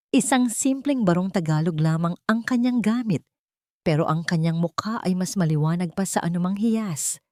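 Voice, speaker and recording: A woman's voice: lowish voice, darkish tone, no room sound, studio quality. A woman reading a scripted dramatic story as an audiobook narrator.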